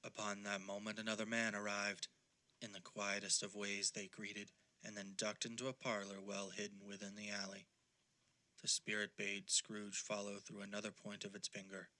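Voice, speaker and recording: An actor as the solemn narrator of a ghost story in an audio drama, reading scripted narration. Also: male voice, lowish voice, monotone, normal speed, sharp tone, rough recording.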